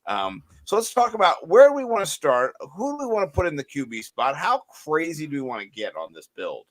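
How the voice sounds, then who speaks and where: Lively raspy man co-hosting a sports show. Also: a little high, fairly quick. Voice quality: raspy today. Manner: animated, jokey, rambling with restarts. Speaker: co-host. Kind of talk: casual fantasy sports chat.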